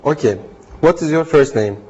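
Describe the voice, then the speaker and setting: A man from Turkey answers in short, simple practice phrases during a classroom role play. His voice is a little husky. The sound is muffled and lo-fi. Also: fairly high pitch, animated, moderate pace, dark tone. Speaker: language student.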